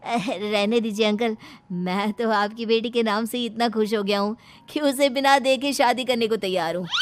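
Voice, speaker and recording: A woman voicing an eager, money-minded young bridegroom in a cartoon moral story, pleading and excitable. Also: a little high, normal speed, faint music behind, close to an everyday voice.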